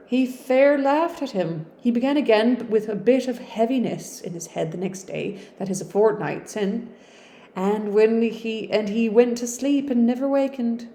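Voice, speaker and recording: A woman's voice: medium pitch, dark tone, reverberant, voice pushed up. A woman doing a broad-spoken old Yorkshire servant woman. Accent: Yorkshire, put on. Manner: broad dialect, drawn-out and emphatic.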